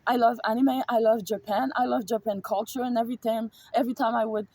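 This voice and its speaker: A woman's voice: on the high side, fairly even intonation, fast, darkish tone, no room sound. A woman vlogger telling a personal story in a casual monologue, emphatic and repetitive, swearing freely. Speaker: spiritual vlogger.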